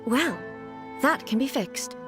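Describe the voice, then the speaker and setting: A dignified, curious female shogun in a video game. Her voice is high and very expressive, yet her phrasing is stately and formal, over game music. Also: takes it slowly. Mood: composed, intrigued. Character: calm dignified almighty female shogun, curious, secretly sweet-toothed. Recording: music behind the voice.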